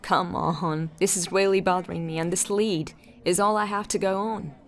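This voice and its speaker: Sci-fi audio drama detective, played by a woman: female, slightly low, heavy vocal fry, terse, dry and determined. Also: steady tone, moderate pace, lightly acted.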